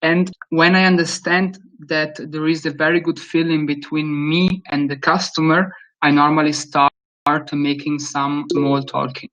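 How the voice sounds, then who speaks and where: Italian-accented man, halting webinar answer. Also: fairly high pitch, steady tone, fairly bright tone, dull audio. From Italy. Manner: halting, with frequent restarts. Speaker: guest speaker.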